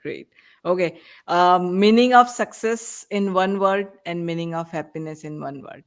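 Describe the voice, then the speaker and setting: A podcast host running a rapid-fire question round and affirming each answer in an encouraging way. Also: medium pitch, smooth.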